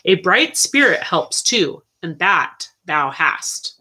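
A woman's voice: lowish voice, sing-song, slow, sharp tone, breathy edge, exaggerated voice. A woman voicing a humble, caring friar in a storybook, with earnest, archaic speech. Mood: gentle, encouraging. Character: humble, caring medieval friar encouraging a boy.

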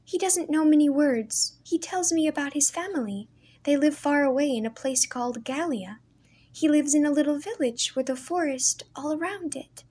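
A woman voicing a timid, gentle young girl who speaks high and breathless. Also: normal speed, close to an everyday voice. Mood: shy.

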